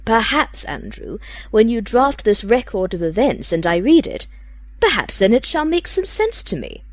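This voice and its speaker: Secretive gothic lady, played by a woman, haughty, deliberate, hugely varied pitch, dry close sound, audiobook monologue. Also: sing-song, no echo. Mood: brooding, imperious. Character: weary, secretive woman dictating a gothic confession.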